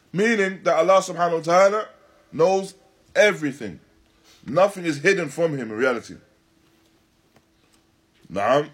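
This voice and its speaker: Slightly husky man giving a religious lecture. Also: a little high. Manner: deliberate, emphatic, repeats and rephrases phrases. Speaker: religious teacher giving a lesson. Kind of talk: live religious lecture, reading and explaining a text. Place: Islamic centre, live stream.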